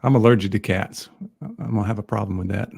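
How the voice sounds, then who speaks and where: A man with a Southern American accent, chatting casually on a livestream in a calm, soothing way. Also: fairly low pitch, fast, gravelly, slightly rough. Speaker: guest on a livestream. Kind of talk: casual chat.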